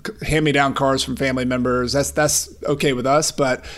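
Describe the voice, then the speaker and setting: A man's voice: mid-range voice, flat delivery, a little fast, fairly bright tone. A middle-aged man speaking as a podcast guest, telling personal stories in a casual, conversational way. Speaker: podcast guest, real estate investor and author. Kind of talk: casual chat, personal storytelling.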